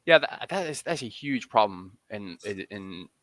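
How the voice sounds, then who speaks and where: Bright, low-pitched man in conversation. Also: expressive, moderate pace, no room sound. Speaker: interview guest, football journalist. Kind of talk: casual interview conversation.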